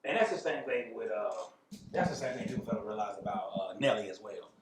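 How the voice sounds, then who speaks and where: A man's voice: fairly low pitch, fast talker. An American man hosting a casual interview, asking questions and giving short acknowledgements. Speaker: interviewer, host. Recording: echoey room with clear background noise.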